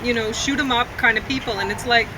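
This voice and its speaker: Halting casual woman over clear vehicle noise. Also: on the high side, fairly even intonation. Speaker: film reviewer. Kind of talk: casual unscripted review chat.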